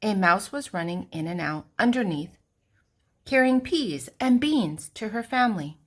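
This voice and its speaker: An older woman narrating a children's storybook expressively, with deliberate dramatic pauses and emphasis. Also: medium pitch, animated, fairly bright tone.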